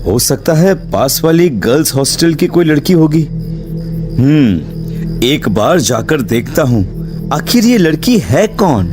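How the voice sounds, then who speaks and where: A man playing an anxious yet curious young student in a dramatised cartoon story, with an expressive delivery. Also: a little high, slow, over music. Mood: puzzled. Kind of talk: dramatised Hindi cartoon horror story dialogue.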